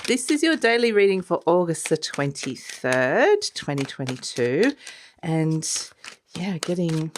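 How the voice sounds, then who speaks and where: A woman doing a casual tarot reading, croaky and a bit congested from a cold, talking gently and rambling with soft laughs. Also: lowish voice, a little hiss or hum. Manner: gentle, meandering, laughs softly between thoughts. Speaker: tarot reader.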